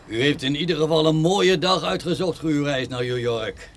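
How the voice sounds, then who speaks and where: A man's voice: on the high side, moderate pace, natural voice. A man playing a chatty but authoritative customs officer in a radio drama. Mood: affable, sly. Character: chatty male customs officer turning brusque. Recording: clearly noisy background ambience.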